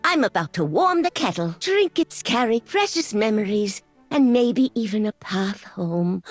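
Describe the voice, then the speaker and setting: A woman playing a whimsical, excitable wandering trinket collector in a video game, with a singsong, giggly, exclamatory manner. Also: mid-range voice, a little slow. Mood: whimsical, dreamy. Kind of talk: game character voice lines. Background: music.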